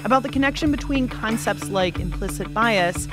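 A woman's voice: a little high, animated, moderate pace, over music. A woman with an American accent hosting a radio show in scripted narration.

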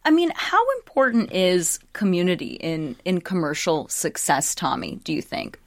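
A woman's voice: fairly low pitch, very animated, dry recording. Woman, radio host, friendly, upbeat, dry studio mic. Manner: friendly, upbeat, quick to affirm. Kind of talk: radio call-in show.

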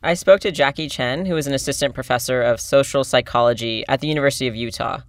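A man co-hosting a podcast, reading scripted narration in a measured, explanatory way and chatting with co-hosts. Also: medium pitch, a bit bright, no echo, studio quality. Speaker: podcast co-host. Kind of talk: scripted narration mixed with casual chat.

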